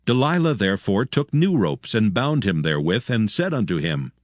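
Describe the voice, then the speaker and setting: A man's voice: lowish voice, big pitch swings, normal speed, dry recording, studio quality. A man narrating scripted scripture as the storyteller of a dramatized Bible audio production.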